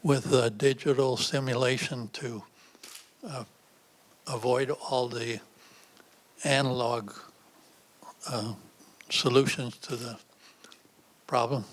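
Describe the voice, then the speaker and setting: A man in the audience asking a question in a hesitant, halting way, heard from a distance. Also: mid-range voice, fairly even intonation. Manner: hesitant, halting, many fillers.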